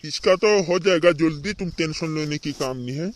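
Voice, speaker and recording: A man voicing a brisk, reassuring, mildly scolding hospital doctor in a comedy cartoon, repeating himself in colloquial speech. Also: a little high, lightly acted. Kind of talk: amateur regional comedy cartoon dubbing.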